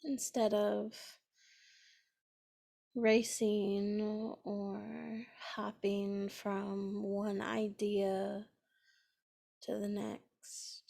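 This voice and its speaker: A woman leading a guided meditation in a gentle, soothing manner, pausing often between phrases. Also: medium pitch, completely flat delivery, vocal fry. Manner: gentle, unhurried, with long pauses between phrases.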